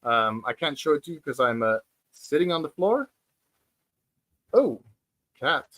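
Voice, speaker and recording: A forty-year-old man chatting casually on a livestream from his floor at home. His voice sits a little high and rings bright and forward. He talks unhurriedly, stumbling through restarts and filler words as he checks his notes. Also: very animated, unhurried. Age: 40s. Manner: hesitant, many fillers and restarts; reads out viewer comments. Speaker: livestream host.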